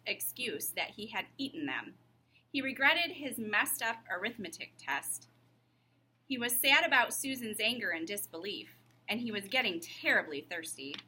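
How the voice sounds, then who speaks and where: A woman's voice: medium pitch, pushed and bright, slight background noise, poor audio. A woman reading a children's storybook aloud as narrator. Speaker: reader.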